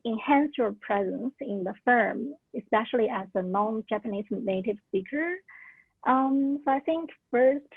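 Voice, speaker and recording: A woman's voice: mid-range voice, vocal fry, breathy edge, no echo. Woman on a tinny video call. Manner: earnest, with many "like" and "uh" fillers. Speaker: alumna sharing career tips. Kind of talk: casual talk at a virtual orientation. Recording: narrow tinny call audio.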